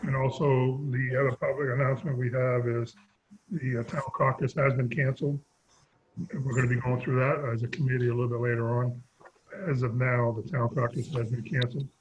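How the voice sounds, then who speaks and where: A man with a fading, husky voice chairs a town sewer commission meeting over a video call, stumbling through a scripted opening. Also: mid-range voice, level tone, a little fast, a little hiss or hum. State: losing his voice. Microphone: remote video call. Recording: dull lo-fi call audio.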